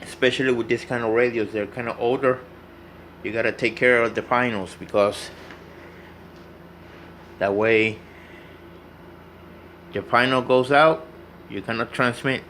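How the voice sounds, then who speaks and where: A man's voice: medium pitch, steady tone, unhurried, pauses often, a bit bright, a little hiss or hum. A man narrating an informal hands-on tutorial in a plain, instructive way, repeating his instructions as he goes. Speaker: radio repair hobbyist demonstrating.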